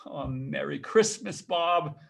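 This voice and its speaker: A man voicing a kindly reformed old miser, playfully gruff and giddy, in a stammering, halting way. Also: fairly high pitch, heavily acted. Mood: overjoyed.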